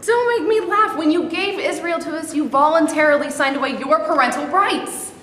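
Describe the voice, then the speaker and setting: A woman's voice: sits high, moderate pace, a bit bright. An actress in a staged play reading, playing a hurt, indignant adoptive mother. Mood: scornful. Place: theater stage. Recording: echoey hall, faint noise.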